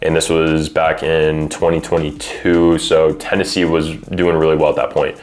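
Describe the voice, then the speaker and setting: A man's voice: a bass voice, flat delivery, normal speed. A man giving conversational recruiting advice as a coach, in an informal way peppered with filler phrases like "you know". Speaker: college football recruiting coach and adviser. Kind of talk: conversational advice, explaining to parents and athletes.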